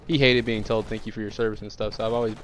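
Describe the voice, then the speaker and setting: A man's voice: lowish voice, flat delivery, racing, a bit bright, a little hiss or hum. A young man with a nasal, congested voice, chatting casually while playing a shooter, rambling with many fillers and restarts. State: congested from sickness. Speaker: gaming streamer.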